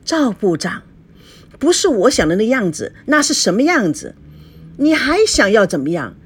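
A woman's voice: high voice, very animated, unhurried, pitched above the performer's own voice, exaggerated voice. A woman voicing a jealous, hot-tempered wife in an audiobook drama, sarcastic, accusing and scolding.

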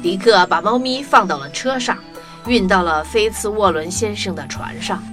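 A woman's voice: medium pitch, moderate pace, music in the background. A woman narrating a scripted children's story, expressively addressing young listeners.

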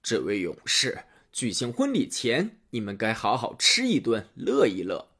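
A man voicing a scheming old king in a storybook tale, speaking low and hushed. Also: huge pitch swings, a little slow, slightly rough, exaggerated voice. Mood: sly.

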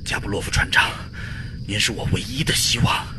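Man acting deep-voiced pleading father. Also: steady tone, noisy background, close to an everyday voice. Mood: anguished, pleading. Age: middle-aged. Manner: halting, emotional, pleading. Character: desperate middle-aged aerospace engineer, pleading father. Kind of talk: radio drama acting.

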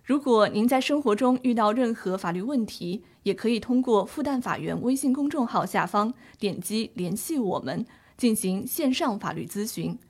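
A woman's voice: on the high side, expressive, moderate pace, hardly any pauses, a little mellow. A woman reading a scripted closing announcement as a program announcer.

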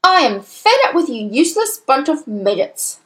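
A woman's voice: high voice, sing-song, normal speed, pitched above the performer's own voice. A woman voicing a huge, furious, bullying headmistress in a story, roaring accusations and insults. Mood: fed up.

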